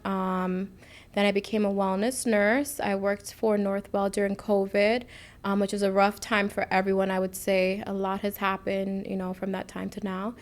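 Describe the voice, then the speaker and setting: A woman's voice: mid-range voice, little pitch movement, normal speed. A woman in her thirties from the United States co-hosting a podcast, chatting candidly and informally about her own experiences. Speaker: podcast co-host, nurse practitioner. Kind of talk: casual chat, personal storytelling.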